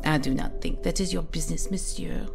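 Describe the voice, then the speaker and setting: A coldly menacing French madame. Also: female voice, sits low, a little fast, music in the background. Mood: cool menace. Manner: smooth, formal, quietly threatening. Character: cold menacing French auction-house madame. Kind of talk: audio drama acting.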